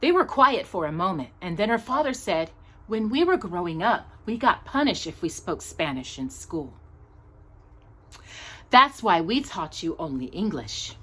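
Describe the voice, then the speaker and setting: Animated, slightly husky woman reading a storybook. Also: on the low side. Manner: animated, emphatic storytelling with pauses on key words. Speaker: storytime host reading a children's book aloud. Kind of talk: expressive children's picture book read-aloud.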